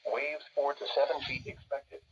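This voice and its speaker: A man's voice: mid-range voice, steady tone, normal speed. A man reading scripted weather bulletins and forecasts in formal, segmented phrasing over a radio broadcast. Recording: dull, noisy weather radio playback.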